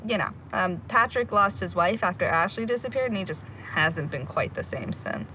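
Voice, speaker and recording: A woman's voice: on the low side, lively intonation, normal speed, bright, forceful tone, slight background noise, low-quality recording. A woman playing a blunt, bossy, outspoken young woman in an audio drama. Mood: confiding. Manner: outspoken, sweary.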